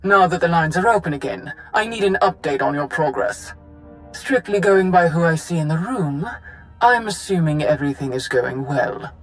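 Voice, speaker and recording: A performer playing an authoritative, high-ranking Sith lord in a video game, speaking formally and giving clipped orders. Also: lively intonation, moderate pace, faint music behind, lightly acted. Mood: dry, expectant. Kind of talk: dramatic video game acting.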